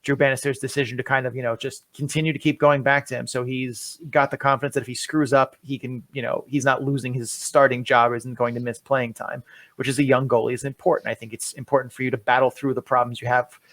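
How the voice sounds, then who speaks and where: A man's voice: mid-range voice, flat delivery, quick pace, a bit bright, no room sound. A young man co-hosting a hockey podcast, chatting casually and rattling off lists of players. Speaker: podcast co-host.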